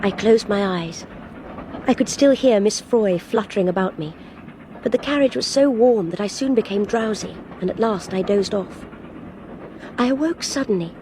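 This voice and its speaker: A woman's voice: mid-range voice, dark tone. An actress playing a polite, well-bred young English woman traveller in a radio drama, speaking in a reserved, well-spoken way. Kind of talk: radio drama dialogue and first-person narration. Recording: faint train noise behind.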